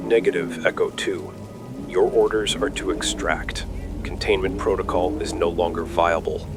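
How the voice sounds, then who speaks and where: A man's voice: low-pitched, fairly even intonation, moderate pace, over music, close to an everyday voice. A man playing a cold, bureaucratic military mission controller, clipped, formal and impassive, giving orders over the radio. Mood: cold, firm. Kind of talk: dramatic radio-command acting.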